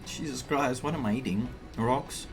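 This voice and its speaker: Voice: medium pitch, normal speed, over music. A game streamer giving casual, musing reactions in murmured asides while playing through a story.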